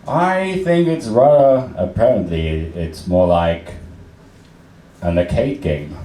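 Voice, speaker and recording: A young man from Austria with an Austrian accent, German his first language, performing a deadpan, self-mocking stand-up set with many fillers and restarts. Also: sits low, a little hiss or hum. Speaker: stand-up comedian. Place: comedy club stage. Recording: roomy club sound from a distant mic, loud crowd.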